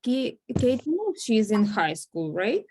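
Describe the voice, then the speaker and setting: A woman reading a caring adult guardian at a table read, gentle and unhurried. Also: a little high, very animated, exaggerated voice.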